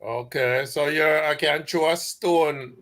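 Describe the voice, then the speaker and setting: A man's voice: a little high, fairly even intonation, takes it slowly, a bit bright. A man leading a workshop over a video call, greeting everyone in a friendly, joking way. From Caribbean-born, living in Canada. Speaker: guest instructor.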